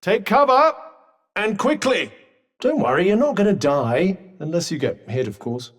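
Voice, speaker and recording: A sardonic talking bracelet in a video game, to the core and full of dry, condescending quips. His voice sits a touch high and leaps around expressively, mocking one moment and clipped the next. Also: sing-song, moderate pace. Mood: urgent. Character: sardonic talking magic bracelet, male, adult.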